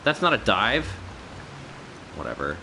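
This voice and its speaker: A man's voice: on the low side, very animated, measured pace, creaky, bright, forceful tone, slight background noise. A man giving casual, slangy, sweary live commentary while streaming a video game. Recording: game music under the voice. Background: video game music.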